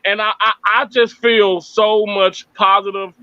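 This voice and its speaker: A man's voice: high voice, flat delivery, unhurried, bright, forceful tone, clear, resonant tone, dry recording, dull audio. A man from Mississippi chatting on a live stream from his family home, upbeat, earnest and faith-filled. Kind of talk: casual live stream chat.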